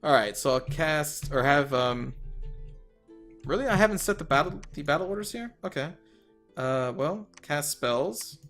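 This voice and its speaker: A man commentating his own strategy game turn, thinking aloud and correcting himself, a little hoarse from losing his voice. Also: a little high, animated, unhurried, a bit bright, faint music behind. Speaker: let's player.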